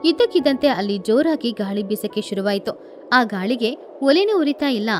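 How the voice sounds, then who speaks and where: Female storyteller, high pitch, children's bedtime tale narration, music behind the voice. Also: animated, moderate pace.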